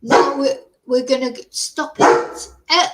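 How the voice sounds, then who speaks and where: A woman hosting a casual true crime chat, reading out news reports and adding her own asides. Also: high-pitched. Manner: slow, chatty, reads news text aloud with asides. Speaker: true crime channel host. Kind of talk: casual commentary over a live news stream.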